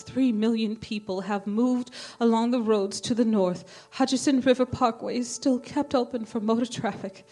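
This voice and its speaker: A woman playing a grave, frightened radio reporter giving an eyewitness bulletin in an invasion drama, halting and dramatic. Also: a little high, darkish tone. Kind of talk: radio play news bulletin acting.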